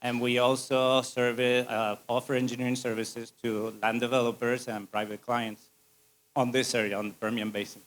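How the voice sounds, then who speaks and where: A man's voice: mid-range voice, level tone, normal speed. Man, Spanish accent, native Spanish speaker, informal presentation, business owner addressing an audience. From Spain.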